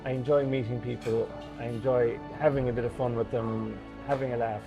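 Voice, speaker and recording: A restaurant manager speaking cheerfully and warmly about his staff. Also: mid-range voice, fairly even intonation, fairly quick, muffled recording, music in the background. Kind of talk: interview.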